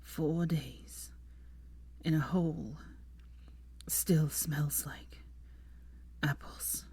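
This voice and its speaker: A dying young man, played by a woman narrator in an audio story. He is delirious and fading, whispering low in halting, gasped fragments. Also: steady tone, mellow, husky, heavily acted. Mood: weak, fading.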